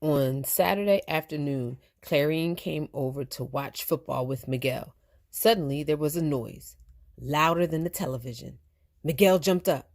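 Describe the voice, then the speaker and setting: A woman reading a children's story aloud as a storytime teacher, expressive and deliberate. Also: low voice.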